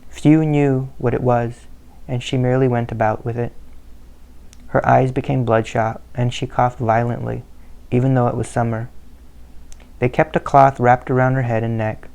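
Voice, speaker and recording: A man narrating a family history in a steady, measured storytelling manner. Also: medium pitch, gravelly.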